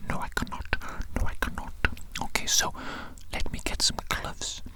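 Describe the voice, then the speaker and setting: A performer whispering as an evil mad doctor in an ASMR medical roleplay, fussy and controlling, softly repeating phrases to a little minion. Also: moderate pace, lightly acted. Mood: coaxing, instructing. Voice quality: breathy unvoiced whisper.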